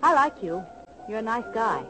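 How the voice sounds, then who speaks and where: A woman's voice: a little high, lively intonation, moderate pace, close to an everyday voice, low-quality recording. Woman acting a sassy, flirtatious schemer, swooping intonation. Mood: brassy, determined. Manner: arch, teasing, brassy. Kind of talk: 1940s radio soap opera acting. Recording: old radio recording, organ music behind.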